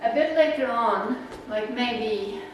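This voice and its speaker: A woman's voice: on the high side, slow pace. A woman with a Czech accent giving a casual, rambling slide talk to a naturalist club, full of fillers. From Czech Republic. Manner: chatty, rambling, with fillers and laughs. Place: meeting room. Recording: echoey room, voice from far off, noisy.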